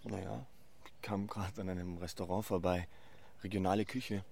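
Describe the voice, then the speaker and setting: Radio drama: a polite, halting man, low-pitched with vocal fry, some background noise. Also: steady tone, darkish tone. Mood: contrite, hesitant. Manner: hesitant, halting. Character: hesitant, polite Viennese man living in Berlin, apologetic. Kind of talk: naturalistic radio drama dialogue.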